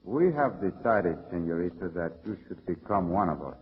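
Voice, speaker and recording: A low-voiced, suave bandit. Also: male voice, lively intonation, normal speed. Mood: mock-polite menace. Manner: mock-polite, taunting. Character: masked bandit, suave, sadistic, menacing male villain. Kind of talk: old-time radio melodrama acting. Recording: muffled old radio recording.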